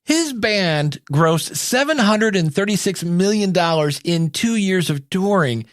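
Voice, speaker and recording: A man's voice: high voice, animated, a little slow, dry recording, studio quality. A man hosting a podcast in an informal, conversational way. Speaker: podcast host. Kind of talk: casual solo talk and mock interview.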